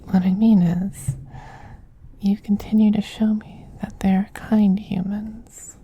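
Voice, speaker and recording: A man voicing a dominant but tender femboy vampire queen in a soft, slow, whispery ASMR roleplay, doting on a pet with condescension. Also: high voice, level tone, husky, heavily acted, low-quality recording. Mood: wistful, tender.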